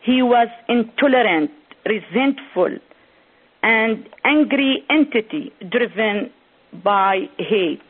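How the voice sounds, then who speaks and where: A woman from Syria, giving a prepared conference speech as an author, delivering it slowly phrase by phrase. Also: on the high side, expressive. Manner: slow, deliberate, phrase-by-phrase delivery. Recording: tinny phone-like sound.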